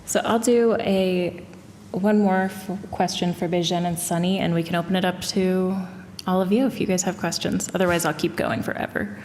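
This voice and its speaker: A woman moderating a panel, chatty and quick, with casual fillers. Her slightly low voice carries through a reverberant hall with faint background noise. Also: steady tone, a little mellow. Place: large hall with audience.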